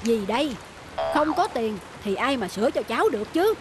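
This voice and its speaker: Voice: mid-range voice, big pitch swings, normal speed, background noise, natural voice. An actor voicing a grudging, dismissive middle-aged male bicycle repairman in a cartoon. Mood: irritated. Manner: grumbling, dismissive. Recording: music and rain under the voice.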